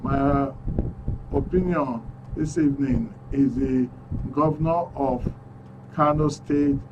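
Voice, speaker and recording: A man's voice: mid-range voice, slow pace, distant mic, muffled recording, background noise. A Nigerian man hosting a live call-in radio show from the studio, upbeat as he reads out messages and phone numbers. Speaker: radio talk show host.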